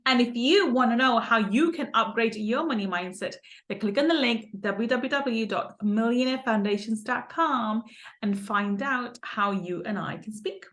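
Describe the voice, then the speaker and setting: A woman's voice: a little high, normal speed, a bit bright. A woman hosting a podcast interview, effusive and upbeat, often laughing as she introduces and questions her guest. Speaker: podcast host.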